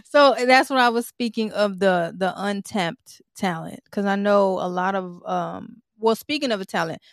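A woman's voice: medium pitch, normal speed, no echo, studio quality. A woman in her forties from Tennessee hosting an interview, talking in a loose, rambling way full of fillers. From Tennessee, USA. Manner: rambling, uses fillers like "you know" and "like". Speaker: interview host. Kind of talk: casual interview chat.

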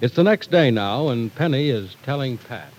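Animated 1940s male radio announcer. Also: mid-range voice, normal speed, a little hiss or hum, rough recording. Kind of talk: 1940s radio announcing, scripted sponsor copy and narration.

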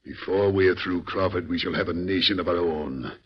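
An actor playing a cold, commanding male gang leader in an old radio drama, with a husky voice. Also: sits low, fairly quick. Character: scheming male gang leader plotting a new nation. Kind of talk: 1940s radio acting. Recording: dull old radio recording.

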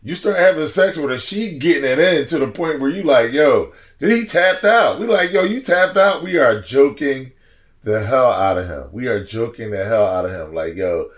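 A man in his forties, a podcast guest, telling stories in a casual, slangy, animated way and laughing as he goes. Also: mid-range voice, moderate pace. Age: 40s. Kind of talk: casual storytelling chat.

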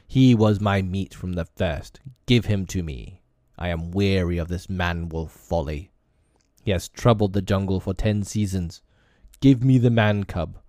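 A man's voice: deep voice, warm tone, dry recording, clean studio sound. A man voicing a huge, cruel male tiger who speaks with scorn and snarls his hatred, read from a storybook. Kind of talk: storybook reading.

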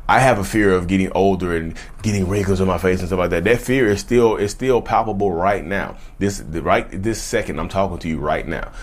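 Deep male voice, late thirties, casual vlog talk, colloquial, emphatic, repetitive phrasing. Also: fairly quick, hardly any pauses. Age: late 30s. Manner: emphatic, repeats phrases for stress; colloquial, folksy address to listeners. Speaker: vlogger speaking about his own narcissism. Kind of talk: casual direct-to-viewer talk.

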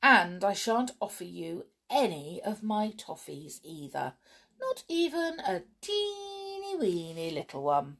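A woman voicing a greedy, quarrelsome male goblin in a storybook, rude and quick to exclaim in indignation. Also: medium pitch, big pitch swings, a bit bright, exaggerated voice. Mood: scornful.

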